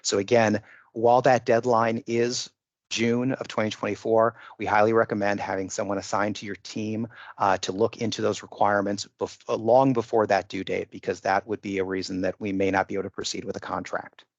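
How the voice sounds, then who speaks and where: Low, gravelly man on dull call audio. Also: flat delivery, a little fast, dry recording. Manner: methodical, emphatic about rules. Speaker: procurement official leading a bid conference call. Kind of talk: formal procedural briefing. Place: online conference call.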